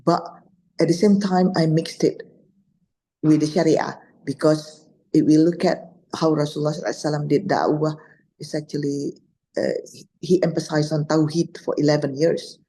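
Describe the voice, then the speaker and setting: A middle-aged woman with an Indonesian accent shares her experiences as a guest scholar. Her voice sits low, with a dark, slightly raspy tone. She often repeats and rephrases as she searches for words. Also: steady tone, normal speed, low-quality recording. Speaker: guest speaker, Islamic scholar. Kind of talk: personal storytelling in an online talk.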